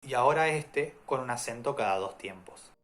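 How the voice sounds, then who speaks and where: A man at a middle pitch teaching a music theory lesson. He explains in a calm, methodical way, laying out one example after another. His delivery is steady and patient, like a teacher working through a lesson plan. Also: moderate pace.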